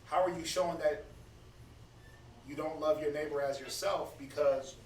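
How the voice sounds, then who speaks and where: A man in his thirties gives a laid-back scripture talk, stammering and doubling back on his words. His voice sits a little high for a man. He is recorded from a distance in an echoey room with a faint hiss behind him. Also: steady tone, normal speed. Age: 30s. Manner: conversational, preachy, stammers and repeats words. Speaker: Bible study speaker. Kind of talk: casual teaching talk.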